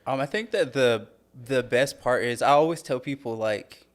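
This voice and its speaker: A young man speaking as a podcast guest in a soft-spoken, gentle and reflective way about his faith. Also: mid-range voice, normal speed. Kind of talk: casual faith chat.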